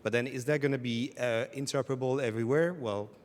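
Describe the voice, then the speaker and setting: A man's voice: medium pitch, fast talker. A man on a conference panel, speaking frankly and skeptically, often breaking into small chuckles. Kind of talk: candid panel discussion. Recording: reverberant conference hall.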